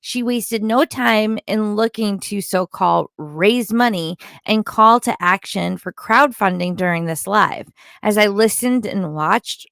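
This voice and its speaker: A woman's voice: medium pitch, a bit bright, no echo, clean studio sound. A woman delivering opinionated, partly scripted recap commentary in a critical, disapproving manner, like an online content creator.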